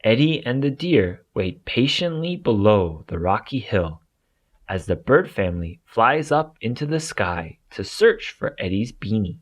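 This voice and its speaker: A man narrating a children's storybook aloud in an expressive way for young listeners. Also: on the low side, a little slow.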